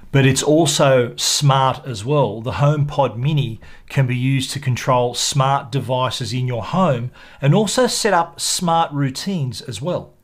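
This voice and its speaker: Male tech reviewer, mid-pitched voice, measured and explanatory presenter delivery. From Australia. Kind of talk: product review narration to camera.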